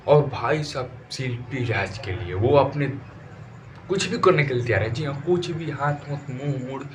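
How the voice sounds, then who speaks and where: A man's voice: medium pitch, normal speed, slight background noise. A man with a Bhojpuri accent presenting informal gossip news commentary in an emphatic, repetitive, scolding colloquial way.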